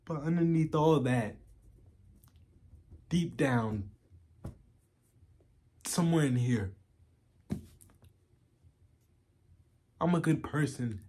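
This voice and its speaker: A man playing a self-loathing, washed-up man in a dramatic monologue, pleading haltingly and rawly for reassurance. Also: fairly high pitch, warm tone, a little hiss or hum, pitched above the performer's own voice. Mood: desperate pleading. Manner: halting, pleading, emotionally raw.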